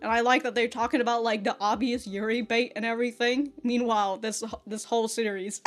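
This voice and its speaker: A woman's voice: fairly high pitch, fairly even intonation, fairly quick. A young adult woman giving a casual, rambling review as a reaction host, informal and giggly in her retellings. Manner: frequently swearing, informal fan slang. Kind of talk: casual rambling episode review with acted-out quotes.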